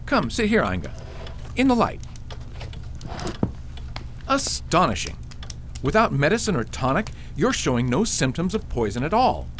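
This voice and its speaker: Old fantasy villager male actor, frank and emphatic, lively intonation, background noise on the recording. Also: a little high, unhurried, long pauses, heavily acted. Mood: gruff concern. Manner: matter-of-fact, advising, emphatic. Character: old father, plain-spoken, seasoned fantasy islander. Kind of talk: fantasy radio drama acting.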